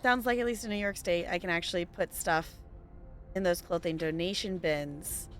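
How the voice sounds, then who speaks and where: A woman's voice: fairly low pitch, normal speed, fairly bright tone, faint music behind. A playful, chatty woman giving casual live gameplay commentary as a streamer. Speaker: game streamer.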